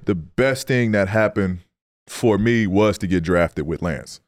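A man hosting a podcast in casual chat, relaxed and easygoing, backing up a guest's stories. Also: lowish voice, unhurried. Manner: relaxed, chimes in with short backchannels, laughs easily. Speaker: podcast host, former pro basketball player.

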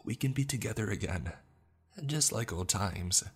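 A man's voice: on the low side, animated, moderate pace, husky, faint music behind, voice pushed up. A man voicing a ghostly mother, sorrowful and sweetly coaxing, in a horror story.

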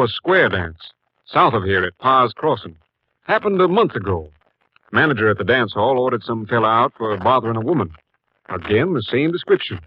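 A man playing a gruff, businesslike police captain in a 1950s radio drama, speaking tersely and weighing things sceptically. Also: medium pitch, slight background noise, lightly acted. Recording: muffled 1950s radio recording.

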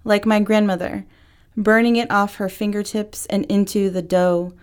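A woman's voice: mid-range voice, steady tone, normal speed, smooth. A woman poet reading her own poem aloud. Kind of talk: poetry reading.

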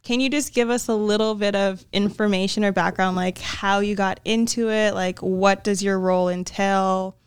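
A woman in her early thirties co-hosting a podcast, upbeat and quick to laugh. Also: medium pitch, fairly even intonation, clean tone, no room sound. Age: 30s. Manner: warm-hearted, giggly, upbeat. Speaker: podcast co-host. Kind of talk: casual chat.

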